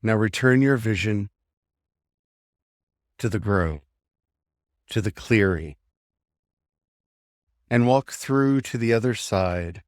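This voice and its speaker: Man, meditation guide, slightly deep voice, very slow pace, short phrases with pauses, gently instructing, dry sound. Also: no room sound.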